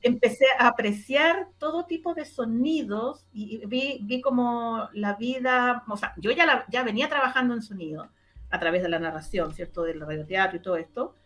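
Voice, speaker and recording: A woman with a Chilean Spanish accent explaining her own work as an interview guest, in an animated, conversational way. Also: fairly high pitch, normal speed, rough recording. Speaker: interview guest, radio drama producer. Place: online video interview.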